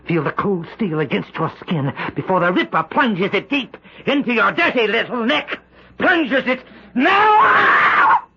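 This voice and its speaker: An actor playing a tormented, bitter husband gripped by a murderous obsession, speaking intensely and haltingly in an old-time radio drama. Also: male voice, high-pitched, sing-song, vocal fry, sharp tone, slightly rough, shouting, exaggerated voice. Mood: menacing, contemptuous. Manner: halting, intense, repeats phrases. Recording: muffled old radio recording.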